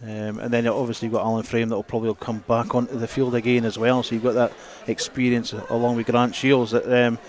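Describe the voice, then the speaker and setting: A man's voice: mid-range voice, flat delivery, fast talker, hardly any pauses, a little mellow. A man giving conversational analysis as co-commentator on a live rugby broadcast, outdoors at the ground.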